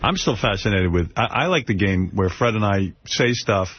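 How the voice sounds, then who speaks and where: Teasing husky radio host man. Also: lowish voice, lively intonation, moderate pace, dry recording. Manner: teasing, needling. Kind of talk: casual radio banter. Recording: dull, dry radio studio audio.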